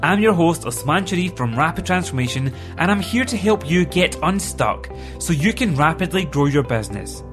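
A man's voice: a little high, sing-song, normal speed, few pauses, music in the background. A middle-aged Scottish man hosting a podcast, speaking as a performance coach in an upbeat, earnest, motivational monologue.

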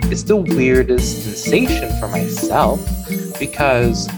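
A man's voice: medium pitch, very animated, slow pace. Reflective man over background music. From China. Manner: reflective, restarts phrases, many "you know"s. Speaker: guest, chiropractor. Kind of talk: interview conversation. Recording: music playing underneath.

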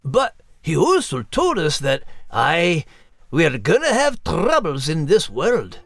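Lively high-voiced Scottish terrier. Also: male voice, takes it slowly, dry recording, clean studio sound, full character voice. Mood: earnest. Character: cheeky, good-hearted male Scottish terrier co-hosting a show. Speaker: co-host in character. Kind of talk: scripted comic podcast banter.

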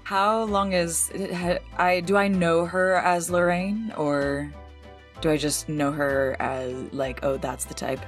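A woman's voice: fairly low pitch, steady tone, slow pace, soft background music. A woman chatting casually and thinking aloud as a player in an improvised tabletop roleplaying show.

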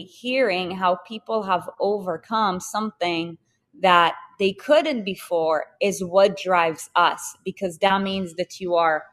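Woman on a podcast, animated, emphatic, lots of fillers, pitch jumps around. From Israel. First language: Hebrew. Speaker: podcast guest, fitness business co-founder. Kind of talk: casual interview chat.

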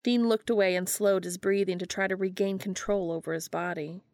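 A woman hosting a podcast, reading fan fiction aloud in a steady, even storytelling manner. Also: mid-range voice, a little fast, no room sound, clean studio sound. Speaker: podcast host and reader.

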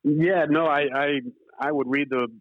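A man's voice: fairly high pitch, steady tone, moderate pace, narrow, tinny audio. A man in his forties from Zimbabwe and California talks as an interview guest, earnest and reflective, in stop-start phrases over a remote call. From Zimbabwe, then California from age eight. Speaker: interview guest, horse racing professional. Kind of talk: relaxed interview conversation. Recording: boxy, echoey, band-limited remote call.

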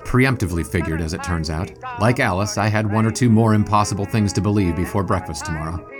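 Man playing a low-voiced, dry-witted sportswriter over music. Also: quick pace, natural voice. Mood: wry. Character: dry-witted, college-educated 1920s sportswriter, younger man. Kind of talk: audio drama acting with first-person narration.

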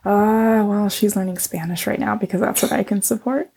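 A woman's voice: medium pitch, steady tone, moderate pace, vocal fry, a little mellow, slight background noise. A middle-aged woman, a scientist guest whose first language was Chinese, answering in casual conversation, hesitant with many ums and restarts.

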